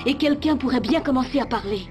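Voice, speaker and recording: A woman voicing a serious undercover informant in a French animated series, speaking in a grave, measured way. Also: high-pitched, lightly acted. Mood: grave, urgent. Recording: music under the voice.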